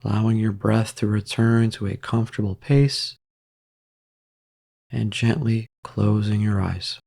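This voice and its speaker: A man leading a guided meditation in a calm, gentle, measured way, leaving long pauses between soft instructions. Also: fairly low pitch, gravelly.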